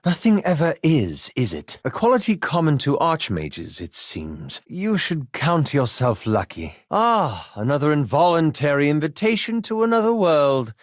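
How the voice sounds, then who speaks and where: A man's voice: medium pitch, dry recording. A man voicing a scholarly male elf wizard in a video game, with precise, formal diction and a dry, wryly sarcastic manner. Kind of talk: video game character dialogue.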